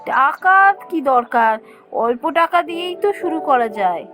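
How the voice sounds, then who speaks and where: A woman playing a gentle, encouraging young newlywed wife in a Bengali cartoon story. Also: high voice, sing-song, moderate pace, soft background music.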